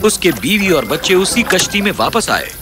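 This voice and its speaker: A man's voice: sits high, sing-song, over music. A man narrating a scripted children's fairy tale as storyteller.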